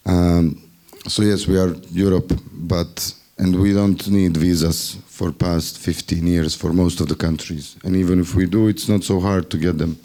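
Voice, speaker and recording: A man from Bosnia speaks up in a group discussion, his voice low and mellow. He has a Bosnian accent and a wry, ironic way of talking, and now and then he stops to look for the right word. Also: steady tone, a little slow, few pauses. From Bosnia and Herzegovina. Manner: wry, ironic, searches for words. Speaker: festival workshop participant. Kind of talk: spontaneous discussion remarks.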